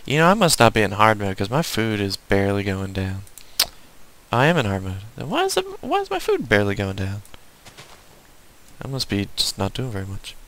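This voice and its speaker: A man's voice: fairly low pitch, huge pitch swings, brisk, fairly bright tone. Southern US man rambling through gameplay. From southern United States. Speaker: gaming video host. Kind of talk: casual unscripted gameplay commentary.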